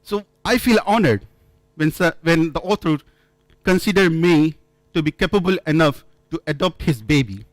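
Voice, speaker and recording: Man, somewhat high voice, conference speaker, halting and storytelling, dry close sound. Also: dry recording. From India. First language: not English. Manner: halting, frequent restarts and self-corrections, storytelling. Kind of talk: conference talk. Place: conference room.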